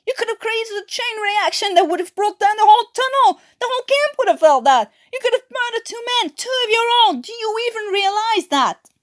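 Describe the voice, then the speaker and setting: A woman's voice: extremely high pitch, lively intonation, a little fast, voice pushed up. A woman playing an outraged male soldier in an amateur story reading, scolding with heavy emphasis. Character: sarcastic quiet Welsh soldier, exploding in outrage. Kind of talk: amateur audiobook character reading.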